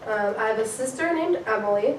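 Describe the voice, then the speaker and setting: A woman's voice: fairly high pitch, lively intonation, fairly quick, a little hiss or hum. A young woman from Illinois chatting casually on a club podcast, giggly and full of "like" fillers. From Illinois, USA. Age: young adult. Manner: giggly, filler-heavy "like" talk. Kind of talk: casual chat. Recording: echoey room from a distant mic.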